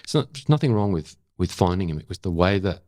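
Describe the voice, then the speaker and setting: Deep-voiced older Australian man. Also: expressive, mellow, no room sound, studio quality. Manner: candid, emphatic, with halting pauses and repetition. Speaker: interview guest, former journalist. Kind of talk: reflective interview talk.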